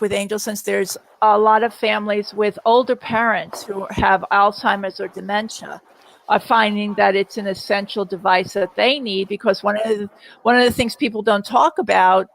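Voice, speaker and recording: A woman's voice: medium pitch, steady tone, moderate pace, vocal fry, poor audio. A middle-aged woman chatting casually in a live Q&A, telling anecdotes and repeating her words as she goes. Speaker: guest parent and experienced product user answering viewer questions.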